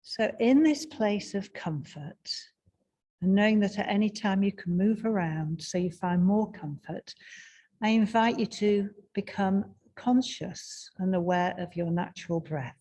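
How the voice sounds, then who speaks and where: A woman guiding a breathing meditation in a slow, soft and soothing way, with long pauses. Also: medium pitch. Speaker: meditation guide.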